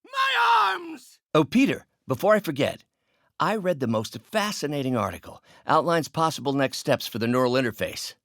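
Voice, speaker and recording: An actor playing a brilliant, bitter middle-aged scientist turned villain in a video game, with precise, articulate, grandiose delivery. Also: male voice, medium pitch, expressive, fairly quick, dry recording, studio quality, lightly acted. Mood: mild, eager. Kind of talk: dramatic game character voice lines.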